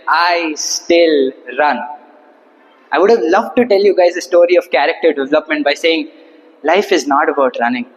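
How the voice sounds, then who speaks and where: High-voiced young man speaking in a reverberant hall. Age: young adult. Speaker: club speaker at Toastmasters. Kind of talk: humorous prepared speech to an audience. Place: indoor hall, speaking to a seated audience.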